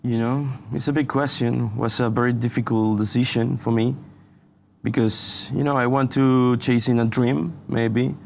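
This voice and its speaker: A young man from Venezuela, a university student answering interview questions in halting English and saying "you know" often. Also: mid-range voice, fairly even intonation, vocal fry, slight background noise. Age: young adult. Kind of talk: casual interview.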